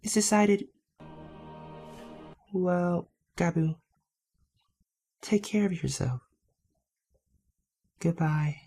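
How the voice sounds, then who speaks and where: A man plays a serene, selfless young female goat in an anime fan dub. The voice sits slightly high, mellow and creaky. The delivery is soft, tender and deliberate. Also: faint music behind, full character voice. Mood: wistful.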